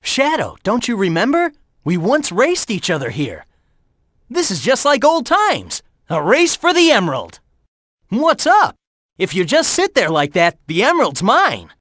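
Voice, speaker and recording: A man's voice: high voice, huge pitch swings, unhurried, a bit bright, no echo, clean studio sound, full character voice. An actor voicing a cocky young male hedgehog hero in a video game, teasing his rival and calling out warnings and tips. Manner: urgent warnings and coaching.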